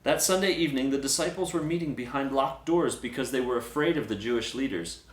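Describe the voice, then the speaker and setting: A man's voice: medium pitch, brisk. Man narrating scripture, echoey room. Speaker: storyteller, Bible reader.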